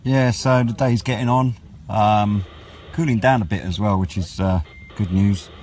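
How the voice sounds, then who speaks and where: British man, fairly deep pitch, casual vlog chat, rambling, unhurried, outdoor noise behind. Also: a little mellow. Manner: rambling, unhurried, low-key. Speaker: radio hobbyist vlogger. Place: outdoors on wooded high ground by a road. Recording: outdoor noise with passing vehicles.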